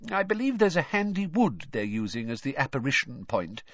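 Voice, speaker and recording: Man acting out cheerful middle-aged wizard father, storybook character, eager explainer, lively swooping intonation, husky edge. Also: medium pitch, dry recording, highs cut off, pitched above the performer's own voice. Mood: eager, informative. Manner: brisk, enthusiastic, chatty explaining.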